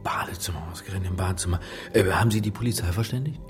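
An actor playing a harried, wry lecturer on the run in a radio crime play, dry in tone and prone to stammering when flustered. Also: male voice, low-pitched, racing, over music, natural voice. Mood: nervous, hushed. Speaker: first-person narrator and lead in a radio crime play. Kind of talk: German radio drama acting and narration.

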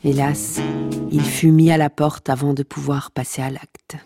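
An actress playing a droll narrator of a comic saga, wry and mock-solemn, speaking straight to the listener. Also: female voice, low-pitched, level tone, unhurried, over music. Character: droll, mock-epic female storyteller of a pasta-cult saga.

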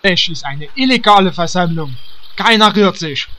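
A man's voice: high-pitched, lively intonation, moderate pace, sharp tone, slight background noise. A man playing a pedantic, overzealous law enforcer with stiff, formal phrasing in a parody fantasy audio drama. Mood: officious, indignant. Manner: stiff, formal police commands.

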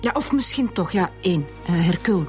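A woman's voice: mid-range voice, lively intonation, brisk, darkish tone, soft background music, natural voice. A woman acting as a guarded, cynical Flemish maths teacher in a radio drama. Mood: blunt, hesitant. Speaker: actor in a radio serial.

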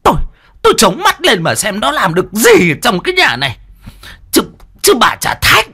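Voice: mid-range voice, huge pitch swings, moderate pace, sharp tone, slightly rough, voice pushed up, heavily acted. A narrator with a Northern Vietnamese accent voicing a furious, jealous first wife who shrieks and hisses her scolding. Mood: cold fury.

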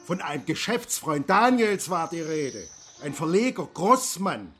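A man's voice: fairly high pitch, big pitch swings, a little slow, a bit bright, slightly rough, faint music behind, heavily acted. An actor playing a distraught middle-aged father in a radio play, agitated, faltering and full of complaint. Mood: angry, ranting.

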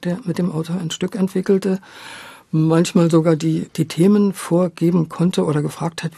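Older woman with a creaky, husky voice. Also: low voice, mellow, dry recording. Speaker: former radio drama director. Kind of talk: reflective interview conversation.

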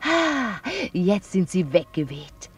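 A dreamy crystal-gazer describes her visions in a radio play. Her voice is breathy and rather low, rising and falling a lot. She sounds a little distant. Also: big pitch swings, slow, exaggerated voice. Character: woman gazing into a crystal, dreamy.

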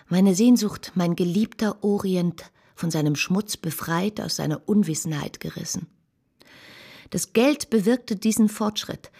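A reflective woman narrating her own past in a period radio drama. The voice is husky and slightly low, idealistic and literary in delivery. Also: darkish tone. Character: reflective woman recalling her idealistic longings, 19th-century Paris.

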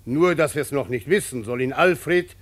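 An actor playing an old, plain-spoken peasant father in a radio play, with weighty, halting, declamatory phrasing. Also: mid-range voice, close to an everyday voice. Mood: solemn, decreeing. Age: older.

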